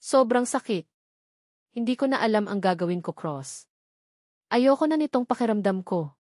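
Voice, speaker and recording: A woman's voice: on the high side, expressive, normal speed, a little mellow, dry recording, clean studio sound. Woman voicing a heartbroken, plaintive Filipina wife. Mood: tearful despair. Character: heartbroken young married woman, hurt and despairing. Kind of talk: romance audiobook dialogue.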